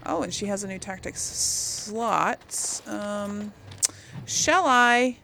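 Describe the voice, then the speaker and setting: A woman's voice: medium pitch, very slow, creaky, pushed and bright, a little hiss or hum. A woman giving casual, chatty video game commentary, thinking aloud as she plays.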